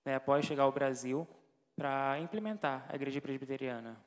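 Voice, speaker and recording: A man's voice: mid-range voice, fairly quick, close to an everyday voice. A man playing a devout interviewer who keeps affirming the speaker with amens and praise. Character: devout male interviewer questioning the missionary. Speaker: interviewer in a church play. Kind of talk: staged interview, church theatre. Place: church hall. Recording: reverberant church hall.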